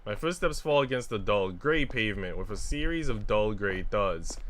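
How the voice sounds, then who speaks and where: A man's voice: on the low side, animated, moderate pace, a bit bright. A man livestreaming a visual novel, reading it aloud and chatting casually with informal, joking asides.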